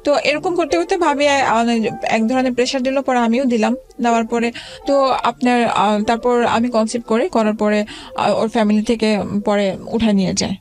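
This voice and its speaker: A woman in her forties telling her life story as an interview guest, halting and hesitant, with many fillers and restarts. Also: a little high, fairly even intonation.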